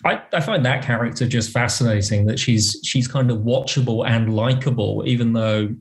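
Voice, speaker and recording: A man speaking as a podcast guest in casual conversation, thoughtful and halting, often rephrasing as he goes. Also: mid-range voice. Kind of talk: casual interview chat.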